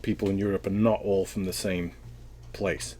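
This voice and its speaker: A man's voice: deep voice, little pitch movement, moderate pace, mellow, slight background noise. A Welsh man answering interview questions in a casual, thoughtful way, often rambling and restarting his sentences. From Wales.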